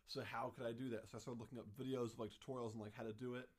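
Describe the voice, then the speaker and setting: A young man with a voice of middling pitch tells a long, meandering story in a casual chat. He leans on "like" constantly and picks up half-finished thoughts as he goes. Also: level tone, racing. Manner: chatty storytelling, heavy use of "like".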